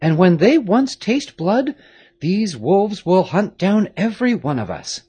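A commanding aristocratic father lays down the law, as a man reads him. His husky voice rises high and swings widely as he speaks firmly and gravely. It is a storybook figure. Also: sing-song, measured pace, mellow, full character voice. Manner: firm, authoritative. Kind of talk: audiobook character reading.

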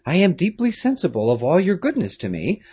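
A man voicing a brave, thoughtful young Englishman who speaks quietly but firmly in an audiobook. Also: fairly high pitch, wildly swooping pitch, fairly quick, slightly rough, pitched above the performer's own voice, heavily acted. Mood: resolute.

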